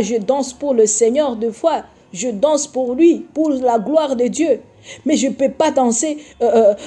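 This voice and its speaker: A woman from Congo delivers a reproachful sermon straight to her viewers. She hesitates and circles back often, her voice husky, very dark and soft in tone, and set slightly high. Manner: admonishing, repetitive, many hesitations and filler sounds. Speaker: online preacher. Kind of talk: scolding video sermon.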